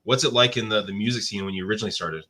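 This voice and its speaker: Male podcast host, low but bright tone, hesitant, rambling questioner. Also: very fast. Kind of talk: interview questions.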